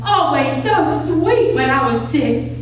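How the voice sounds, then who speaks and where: A woman acting a proud, defensive middle-aged Southern American doctor's widow in denial, halting and stammering, in a staged play reading. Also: sits high, expressive. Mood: wounded, defensive. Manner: halting, stammering, repeating words. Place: echoey room at a live staged reading. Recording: echoey room, distant mic, faint noise.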